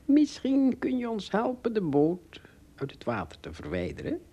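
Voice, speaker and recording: A man playing a courteous, friendly tiny king in a radio play, speaking in a deliberate, regal manner with a creaky, husky voice. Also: high-pitched, huge pitch swings, warm tone, full character voice.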